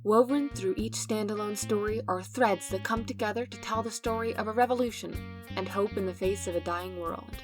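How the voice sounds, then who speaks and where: A woman's voice: mid-range voice, brisk. A woman reading scripted promotional narration for an audio fiction podcast trailer, with plucked guitar music behind her.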